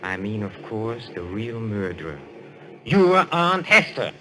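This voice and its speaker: An actor playing a suave, assured detective in a radio mystery, speaking in a courtly, deliberate way. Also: male voice, on the low side, sing-song, loud music behind the voice, low-quality recording. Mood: grave, revealing. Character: suave, assured French detective, male, posing as a medium. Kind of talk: old-time radio mystery acting.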